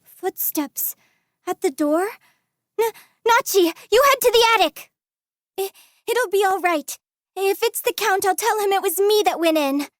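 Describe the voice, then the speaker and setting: A woman's voice: extremely high pitch, measured pace, pauses often, dry recording, clean studio sound. A woman voicing a timid, proper young servant girl in a video game, hesitant and pleading. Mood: worried.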